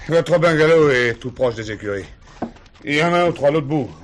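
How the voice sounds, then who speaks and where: A man's voice: fairly high pitch, expressive, fairly quick, natural voice. An actor playing a nervy, plain-spoken ranch horseman in a French radio crime drama, with an edgy delivery. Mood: shaken. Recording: noisy 1970s radio drama recording.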